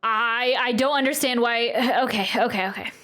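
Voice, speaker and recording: A woman with a high voice gives casual live reactions to a game. She exclaims and repeats short phrases, with soft game music behind her. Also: moderate pace. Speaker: game streamer reacting to a playthrough. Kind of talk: casual live gaming commentary.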